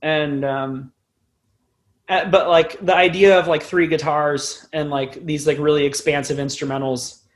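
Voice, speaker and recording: A man's voice: fairly high pitch, steady tone, unhurried. A man in his twenties chatting casually as a musician being interviewed, rambling and self-deprecating. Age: 20s. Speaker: podcast guest, band musician.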